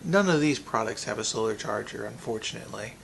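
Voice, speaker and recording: A man giving a casual, unscripted product review, thinking aloud in a halting way with pauses. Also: fairly low pitch, expressive, vocal fry, a little hiss or hum.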